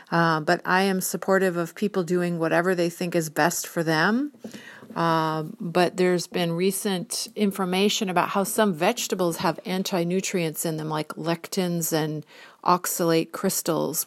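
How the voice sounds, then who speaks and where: Woman, fifties, low voice, gravelly, earnest, rambling monologue. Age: 50s. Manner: earnest, rambling, lists things one by one. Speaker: vlogger and art model. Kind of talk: casual monologue on health.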